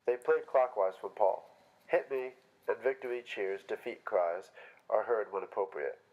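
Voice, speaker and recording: A man's voice: fairly low pitch, moderate pace, lo-fi sound. A man reading stage directions aloud as scripted narration at a staged play reading.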